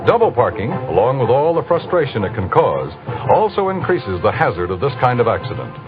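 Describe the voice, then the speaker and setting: Casting: male American old-time radio announcer, very animated scripted delivery, music behind, dulled broadcast sound. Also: mid-range voice, fairly quick, highs cut off.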